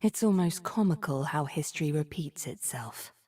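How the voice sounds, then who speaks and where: An actress playing a cunning, menacing sorceress in a video game, speaking with measured, imperious theatricality in a breathy voice. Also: female voice, sits low, animated, warm tone. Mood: cold disdain. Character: cunning, regal, menacing sorceress of a secret order. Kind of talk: video game character voice lines.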